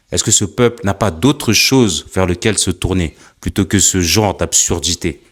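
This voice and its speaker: Deep-voiced man giving impassioned commentary. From Africa. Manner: earnest, rhetorical, repeats questions for emphasis.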